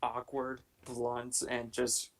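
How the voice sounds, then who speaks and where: A man's voice: mid-range voice, monotone, slow pace, a little hiss or hum. A twenty-year-old man casually joking through film commentary as a co-host.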